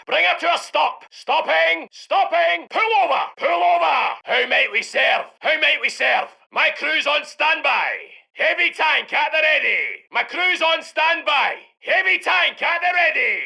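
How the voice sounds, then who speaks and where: A brash Scottish heavy tank commander from a war game. His voice is pitched very high for a man, hard-edged and piercing, with a thick gravel in it. He barks salty, commanding orders to his crew with swagger. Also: bright, forceful tone, exaggerated voice. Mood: urgent, barking, then wry, confident. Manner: commanding, salty, colourful slang. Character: gruff, cocky British heavy tank commander barking orders. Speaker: video game voice actor. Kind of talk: shouted military unit voice lines.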